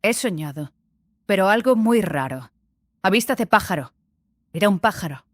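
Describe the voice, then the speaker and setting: A woman playing an impulsive, curious young apprentice in a fantasy audiobook, excitable and full of questions. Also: medium pitch, normal speed, no echo, clean studio sound, natural voice. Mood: wondering. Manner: excitable, inquisitive.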